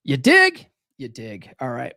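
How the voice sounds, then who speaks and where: A man's voice: medium pitch, wildly swooping pitch, unhurried, vocal fry, bright, forceful tone, no room sound, clean studio sound. A man hosting a podcast, talking loosely and profanely, full of "right?" and "like" fillers. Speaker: podcast host. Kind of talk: casual live-stream monologue.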